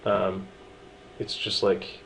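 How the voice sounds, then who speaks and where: A man casually talking through a software setup tutorial, relaxed and chatty with frequent ums and small asides. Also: low voice, extremely slow, vocal fry, a little hiss or hum, low-quality recording. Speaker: tutorial presenter. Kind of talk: screen-recorded software walkthrough.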